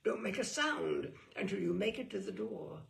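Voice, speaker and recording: Expressive gravelly woman reading aloud. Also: medium pitch, moderate pace. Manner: arch, dramatic, mock-serious delivery. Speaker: reader of a humorous book. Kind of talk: theatrical reading aloud of comic prose.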